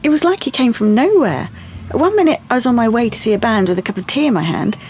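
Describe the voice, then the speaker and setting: A woman playing a calm, self-contained young artist in an audio play, with a dry manner. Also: on the high side, fast talker, slightly rough. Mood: reflective. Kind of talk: audio play monologue and dialogue. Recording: music behind the voice.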